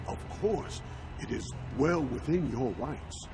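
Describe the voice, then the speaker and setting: An actor voicing a proud, formal dwarven proving master who announces in a grandiose, declamatory way for a fantasy game. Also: male voice, medium pitch, expressive, slow pace. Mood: deferential. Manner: grandiose, declamatory, deferential to nobility. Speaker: arena announcer. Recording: loud music behind the voice. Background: loud game music.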